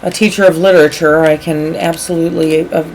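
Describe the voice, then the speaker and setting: A woman with a low voice and a Chicago accent chats casually while playing a video game. There is some light noise behind her as she talks. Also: fairly even intonation, a little fast, a little mellow, rough recording. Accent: Chicago American. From Chicago area, United States. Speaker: co-host of a video game stream, English teacher.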